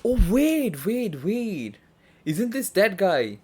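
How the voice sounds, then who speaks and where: Slow-paced, hesitant game streamer. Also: mid-range voice, sing-song, a little mellow, breathy edge. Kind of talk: casual live game commentary, reacting. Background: game music.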